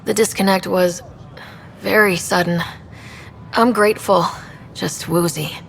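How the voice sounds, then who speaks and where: An actress playing a young woman space explorer in a video game, steady and dry-witted. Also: medium pitch, unhurried, creaky, slight background noise. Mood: feigned gratitude. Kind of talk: video game dialogue acting.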